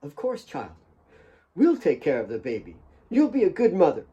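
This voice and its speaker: A big motherly woman acted by a male audiobook reader speaks in a raised, tender voice. She soothes and coaxes with steady reassurance, like a caregiver to a child. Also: on the high side, moderate pace, lo-fi sound, pitched above the performer's own voice. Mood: soothing. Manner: coaxing, reassuring.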